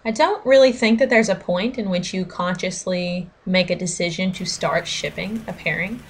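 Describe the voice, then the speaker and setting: A woman's voice: fairly low pitch, moderate pace. A woman in her twenties with an American accent, talking casually in an interview as a fan. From United States. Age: 20s. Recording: background room noise.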